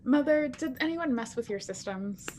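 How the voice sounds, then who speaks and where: A woman's voice: high-pitched, expressive, moderate pace, darkish tone. A woman playing a tabletop roleplaying game, improvising in-character dialogue in a conversational way. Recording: faint background noise.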